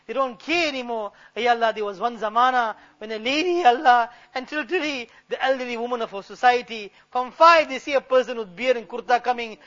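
Guy with a really high sharp voice leading a pleading prayer, muffled lo-fi sound. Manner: fervent, pleading, repeating the refrain. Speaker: prayer leader. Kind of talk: impassioned supplication. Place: gathering of worshippers. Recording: dull lo-fi recording.

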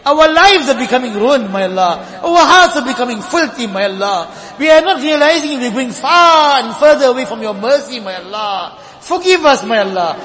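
A man with a strikingly high voice leads a tearful congregational supplication. He pleads, climbing and then sinking low on each repeated refrain. His words ring through a large reverberant mosque hall. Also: lively intonation, normal speed, a bit bright. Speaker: imam leading supplication. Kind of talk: emotional congregational du'a.

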